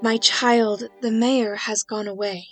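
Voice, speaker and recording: A woman playing a gentle, soothing nun who nurses the sick in a period audio drama, with a gently firm manner. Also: on the high side, fairly even intonation, slow, faint music behind. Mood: soothing, concerned. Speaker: actor. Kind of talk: radio-style period drama acting.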